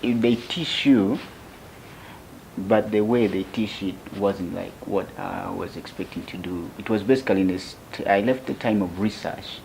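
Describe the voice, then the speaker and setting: Slow, gravelly-voiced young Ugandan man. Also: low-pitched, a little hiss or hum, rough recording. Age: 20s. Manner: slow, hesitant, soft-spoken. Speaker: young tech founder, interview guest. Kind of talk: interview answers.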